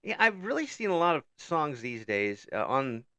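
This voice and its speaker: A man's voice: a little high, big pitch swings, normal speed, bright, forceful tone, dry recording. A man hosting an interview, chatting casually, laughing often and tripping over his words. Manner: chuckles often, stumbles and repeats words. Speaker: interview host.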